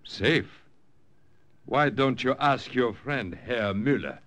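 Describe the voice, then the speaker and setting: An actor playing a wary, formal French Resistance farmer with a French accent in an old-time radio drama. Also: male voice, mid-range voice, lively intonation, takes it slowly, muffled recording. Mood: guarded. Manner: formal, pointed, suspicious. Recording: vintage radio drama recording.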